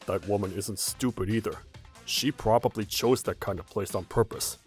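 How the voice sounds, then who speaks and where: Man acting a low-voiced, protective middle-aged father. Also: moderate pace, soft background music, natural voice. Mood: earnest, regretful. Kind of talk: anime-style manga dub acting.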